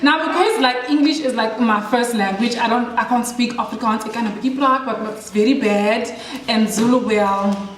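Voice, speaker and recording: A young woman, chatting bubbly and excitedly through a makeup tutorial on camera in a small room with kids nearby. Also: fairly high pitch, moderate pace. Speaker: makeup vlogger. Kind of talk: casual beauty tutorial chat. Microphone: camera in front, head and shoulders framing. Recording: roomy echo with household noise.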